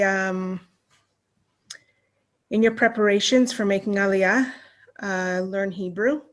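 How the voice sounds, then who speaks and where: Canadian woman, flat rambling speech. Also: medium pitch. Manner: warm, rambling, many restarts and fillers. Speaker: interview guest. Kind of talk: casual online chat.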